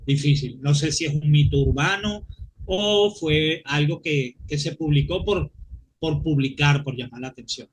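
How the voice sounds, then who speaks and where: Higher-pitched Venezuelan man recalling details. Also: measured pace, a little hiss or hum, rough recording. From Maracay, Venezuela. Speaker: guest comics historian. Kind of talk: informal interview recollection.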